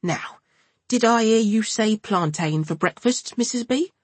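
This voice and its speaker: A woman voicing a pompous young male preacher with a nasal Cockney accent who enunciates slowly and painfully. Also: fairly high pitch, expressive, husky, dry recording, pitched above the performer's own voice. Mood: sanctimonious. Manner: slow, painfully enunciated, sanctimonious.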